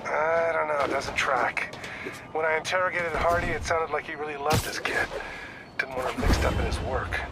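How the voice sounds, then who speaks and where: A man's voice: fairly high pitch, moderate pace, vocal fry. A man playing a weary, earnest older police detective nearing retirement in a video game, explaining things in a matter-of-fact way. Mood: reflective. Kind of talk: video game voice acting, conversational.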